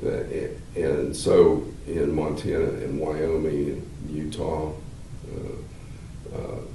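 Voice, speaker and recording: An older man, a law professor, explaining at length in a measured, halting way with many fillers, seated in a wood-paneled room. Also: very deep voice, little pitch movement, mellow. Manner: gestures with hands while talking. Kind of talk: explanatory interview answers. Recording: roomy, slightly distant, faint hiss.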